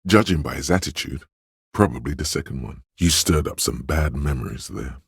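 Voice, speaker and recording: An actor playing a sly, knowing inner voice in a video game, narrating in a measured, insinuating and deliberate manner as he reads people and coaxes the hero. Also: rumbling low, expressive, husky, dry recording, clean studio sound, heavily acted. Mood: sly, coaxing. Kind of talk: scripted dramatic game narration.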